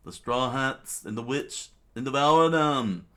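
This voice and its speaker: A man voicing a vain, gluttonous tyrant king in a pompous, shouting manner for a story. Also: medium pitch, unhurried, voice pushed up, close to an everyday voice. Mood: furious.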